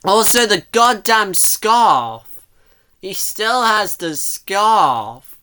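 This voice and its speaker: Bright-voiced reactor nitpicking casually. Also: mid-range voice, very animated, very slow, smooth. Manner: questioning, nitpicking, rambling. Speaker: reactor commenting on a video.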